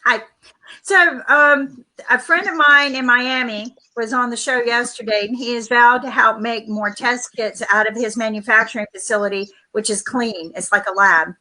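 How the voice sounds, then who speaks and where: A woman's voice: a little high, fairly even intonation, moderate pace, bright, forceful tone, rough recording. A woman hosting a casual talk show over a video call, rambling with many restarts. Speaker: host.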